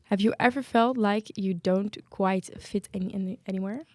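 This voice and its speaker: A woman's voice: mid-range voice, steady tone, normal speed, darkish tone, dry recording, studio quality. A young woman hosting a podcast about student life, chatty and tripping over a word now and then. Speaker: podcast host.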